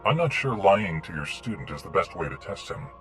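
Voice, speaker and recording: A man's voice: low voice, sing-song, brisk. A man playing a confident warrior hero in a video game, with a dry, wry delivery. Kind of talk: video game character acting. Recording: very loud music behind. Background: game soundtrack music.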